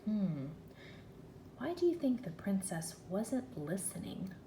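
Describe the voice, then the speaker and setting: A woman teacher reading a children's story aloud in an expressive, deliberate, questioning way. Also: mid-range voice. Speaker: first-grade teacher. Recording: echoey room with faint noise.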